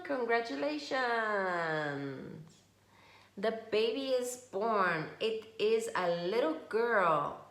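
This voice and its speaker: A woman reading a picture book aloud to young children, slow and expressive, stopping to ask questions. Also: medium pitch. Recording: echoey room.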